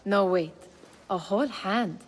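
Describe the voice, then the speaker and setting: An actress playing a cheeky young street thief in a video game cutscene, playful and sardonic. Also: female voice, medium pitch, a little slow, in a large hall, slight background noise, close to an everyday voice. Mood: teasing.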